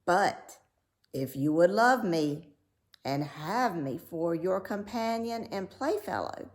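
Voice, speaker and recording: Slow, earnest storybook frog voiced by a woman. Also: on the high side, darkish tone, voice pushed up. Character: ugly talking frog, pleading suitor to a princess. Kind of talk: storybook read-aloud character voice.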